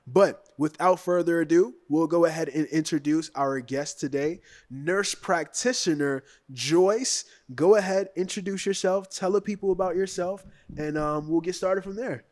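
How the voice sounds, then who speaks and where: A man hosting a podcast, giving an energetic hype introduction and often adding "right?". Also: on the high side. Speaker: podcast host.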